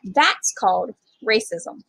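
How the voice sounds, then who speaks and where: A woman's voice: medium pitch, big pitch swings, takes it slowly, creaky, fairly bright tone, breathy edge, poor audio. A woman reading aloud to young children as a storytime reader, speaking to them directly in simple, child-directed phrasing.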